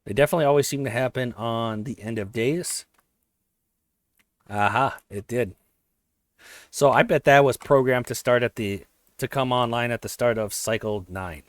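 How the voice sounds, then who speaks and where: A man thinking aloud while he plays a strategy game, his voice a little hoarse, often correcting himself as he goes. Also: mid-range voice, moderate pace, long pauses, dry recording. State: voice slightly hoarse. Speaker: let's play host. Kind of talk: casual gameplay commentary.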